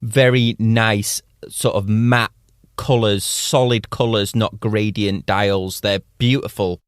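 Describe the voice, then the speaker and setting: A man co-hosting a casual podcast, enthusiastic and talking in quick bursts. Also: on the low side, fairly even intonation, clean tone, no echo, clean studio sound. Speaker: podcast co-host. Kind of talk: casual chat about watches.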